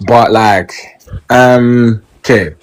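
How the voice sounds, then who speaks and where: A man's voice: medium pitch, drawn-out words, low-quality recording. A man in a casual chat, slangy and hedging. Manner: slangy, hedging with "you get me". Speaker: guest.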